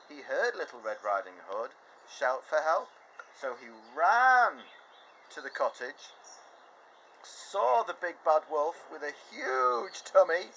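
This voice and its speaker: A man reading a children's story aloud in his garden, slow and deliberate, with a voice that swoops up and down expressively. He lingers on words to build the tale. Faint birdsong and insects hum in the open air behind him. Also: medium pitch, low-quality recording. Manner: animated, expressive read-aloud. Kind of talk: reading a picture book aloud. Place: outdoors in a garden.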